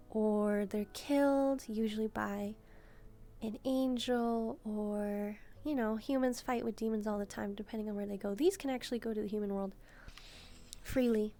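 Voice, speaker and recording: A woman's voice: fairly high pitch, warm tone, close to an everyday voice. A woman voicing a sweet, curious young demon maid, chatting politely and a little hesitantly to the listener in a roleplay.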